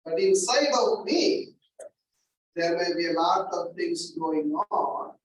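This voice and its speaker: A male chaplain with an accent giving a deliberate teaching talk, putting questions to his listeners. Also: a little high, dull audio. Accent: non-native English accent. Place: large echoey hall. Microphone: distant from the mic. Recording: echoey hall from far off, faint noise.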